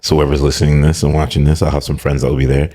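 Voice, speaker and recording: A man's voice: a bass voice, flat delivery, rapid-fire, dark tone. A man hosting a podcast, playful and teasing in casual chat. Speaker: podcast host.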